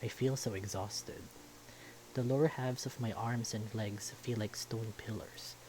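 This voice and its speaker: A man's voice: fairly low pitch, fairly even intonation, measured pace, a little mellow, slight background noise, rough recording. A man reading a visual novel aloud as first-person story narration.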